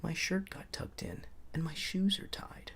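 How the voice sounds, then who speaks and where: A man voicing excited, astonished young schoolchildren exclaiming in a storybook. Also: fairly high pitch, unhurried, vocal fry, husky, whispered, pitched above the performer's own voice, heavily acted. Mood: surprised.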